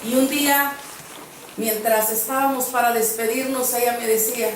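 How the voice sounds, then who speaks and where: A woman with a high voice preaches an earnest sermon in a church, driving her points home by repeating phrases. She is picked up from some distance, so her voice rings around a very reverberant hall. A faint hum of room ambience sits underneath. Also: steady tone, moderate pace. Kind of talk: sermon with personal storytelling. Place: church sanctuary.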